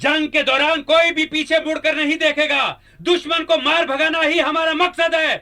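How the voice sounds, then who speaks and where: An actor playing an earnest, devoted royal general in a radio drama, speaking in a formal, declamatory manner. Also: male voice, very high-pitched, level tone, normal speed, bright, forceful tone, soft background music, full character voice. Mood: rousing, commanding. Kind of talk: Hindi radio drama acting.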